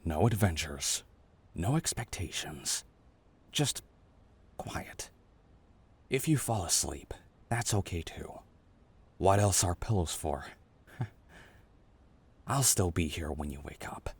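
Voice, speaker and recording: A man voicing a gentle, weary older man in a soft, breathy, halting ASMR roleplay, wistful and comforting toward the listener. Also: low-pitched, animated, heavily acted. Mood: gentle, reassuring. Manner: halting, tender, murmured delivery with trailing pauses.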